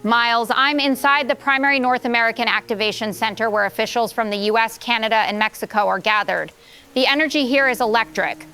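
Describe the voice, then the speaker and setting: A woman's voice: fairly high pitch, brisk, sharp tone, clean tone, faint music behind. A woman playing an earnest, composed news correspondent giving a descriptive live report from the scene. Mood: composed, expectant.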